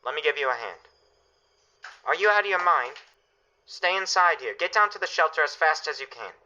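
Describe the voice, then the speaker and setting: A man's voice: on the high side, lively intonation, fairly quick, pushed and bright, a little hiss or hum. An actor playing a commanding father in a radio drama, firm and reassuring as he directs his family in a crisis. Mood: stern, urgent. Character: steady, commanding family father preparing for disaster. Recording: dull, band-limited recording.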